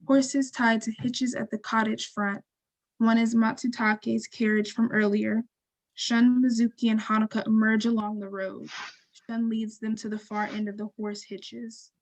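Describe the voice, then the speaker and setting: A woman narrating stage directions from a script in a measured, neutral, descriptive way. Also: fairly high pitch, level tone, low-quality recording.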